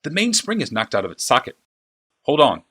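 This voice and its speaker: A male narrator voicing a calm, self-assured young craftsman with clipped speech. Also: a little high, brisk, slightly rough, voice pushed up, full character voice.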